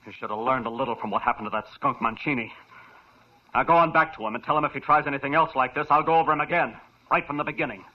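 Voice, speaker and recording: A man's voice: medium pitch, quick pace, close to an everyday voice. A man playing a brash young man, hotheaded at first and later shaken and earnest, in an old radio drama. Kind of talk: 1940s radio drama acting. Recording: dull lo-fi old radio recording.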